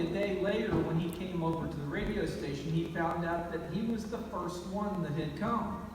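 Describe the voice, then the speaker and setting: Male award presenter, slightly high voice, telling a story deliberately in a noisy, reverberant hall. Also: darkish tone. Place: large banquet or awards hall over PA. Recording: very echoey hall, distant mic, noisy.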